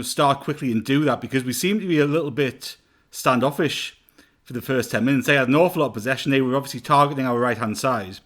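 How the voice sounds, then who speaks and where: A man co-hosting a football podcast over a remote call, giving thoughtful, rambling analysis with plenty of fillers. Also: medium pitch. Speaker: podcast co-host. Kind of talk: casual football podcast chat.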